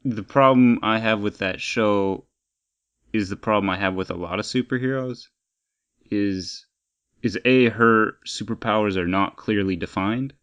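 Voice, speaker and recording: Low-pitched man, opinionated and rambling. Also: unhurried, a bit bright, clear, resonant tone. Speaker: podcast co-host. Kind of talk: casual pop-culture chat.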